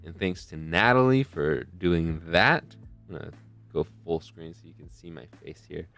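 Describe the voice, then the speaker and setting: A man's voice: low voice, lively intonation, normal speed, creaky, a bit bright, faint music behind. A young man hosting a charity live stream in a casual, rambling way, often losing his train of thought. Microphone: desk mic close to a face camera.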